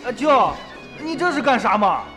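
A cowed young villager, his voice high and swinging widely. He stammers and whines in radio-drama style, with long reverb and music around him. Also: very animated, moderate pace, pushed and bright, heavily acted. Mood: bewildered. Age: young adult. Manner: stammering, whiny. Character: flustered, pleading young village man, cowed and whining.

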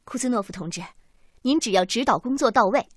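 A dedicated young woman engineer, spirited and keen. Her voice is fairly high, recorded dry and close for radio drama. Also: expressive, brisk, slightly rough, no room sound. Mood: eager, determined.

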